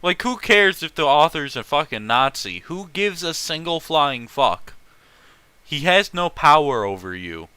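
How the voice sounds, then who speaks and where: A man reading fan fiction aloud as host, breaking off for animated, opinionated and profane casual commentary. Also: a little high, a little slow, clean tone, no echo.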